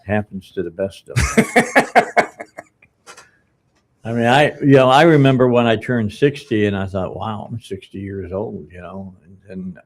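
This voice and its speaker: Rambling man in his seventies. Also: lowish voice. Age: 70s. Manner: rambling, halting with fillers and restarts. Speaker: radio talk show host. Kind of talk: casual on-air chat.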